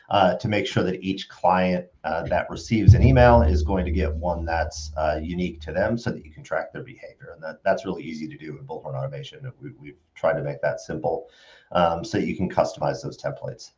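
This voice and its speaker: A man's voice: low voice, a little mellow. A middle-aged man co-hosting a business live stream, explaining conversationally with somewhat hesitant phrasing. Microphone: video call. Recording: slightly dull video-call audio.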